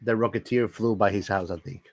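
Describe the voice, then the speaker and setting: A man's voice: lowish voice, steady tone, a little fast. A man co-hosting a podcast, chatting casually in a jokey, teasing way. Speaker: podcast co-host. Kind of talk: casual chat.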